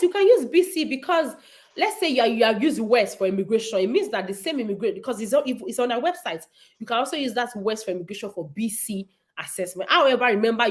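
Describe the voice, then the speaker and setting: Woman, livestream Q&A host, emphatic, repeats herself, mid-pitched voice. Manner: emphatic, repetitive, reads questions aloud then answers. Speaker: nurse answering viewer questions on a livestream. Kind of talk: casual livestream Q&A.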